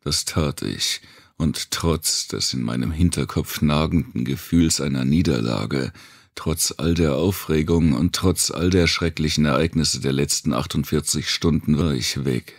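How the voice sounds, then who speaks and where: A man narrating a post-apocalyptic thriller audiobook in the first person, with a measured, deliberate delivery and dramatic pauses. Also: very deep voice, gravelly, breathy edge, no room sound, clean studio sound.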